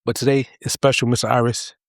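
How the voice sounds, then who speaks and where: Fast-talking playful male podcast host. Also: medium pitch, flat delivery, dry recording, clean studio sound. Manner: playful, prodding questions. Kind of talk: casual interview conversation.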